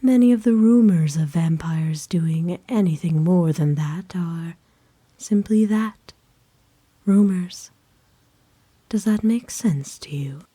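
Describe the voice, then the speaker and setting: A woman voicing a kind, doting aristocratic vampire in an ASMR roleplay, speaking formally and tenderly in a soft, breathy whisper. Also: fairly low pitch, no echo. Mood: gentle, explaining. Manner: formal, genteel, tender, unhurried. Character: kind, doting aristocratic female vampire owner, gently possessive.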